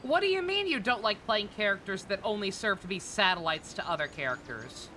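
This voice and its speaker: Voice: mid-range voice, expressive, fairly quick, background noise. A game streamer chatting casually over gameplay in a sardonic way. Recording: game music clearly behind voice.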